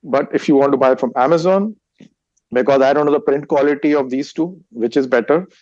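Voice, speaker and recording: A man's voice: mid-range voice, a little mellow, no echo, lo-fi sound. A man from India with an Indian accent, a Hindi speaker, answering interview questions with frequent restarts and fillers. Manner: halting, many restarts and fillers. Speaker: guest, author and meditation teacher.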